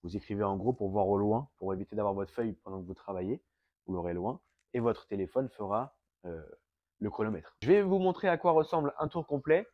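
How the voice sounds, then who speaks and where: Un coach sportif français enrhumé qui explique pas à pas un circuit d'entraînement à la maison, en parlant directement aux spectateurs et en s'essoufflant vite. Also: male voice, on the low side, animated, fairly quick, no echo, muffled recording. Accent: French. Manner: explains step by step, addresses viewers directly. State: has a cold, breathes through the mouth, gets out of breath fast. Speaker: fitness coach. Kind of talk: home workout instruction. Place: fitness studio.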